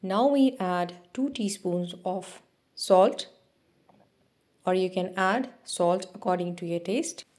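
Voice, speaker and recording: A woman narrating a cooking video as a voice-over, giving steady step-by-step instructions with small restarts. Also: on the low side, takes it slowly. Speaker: cooking channel host.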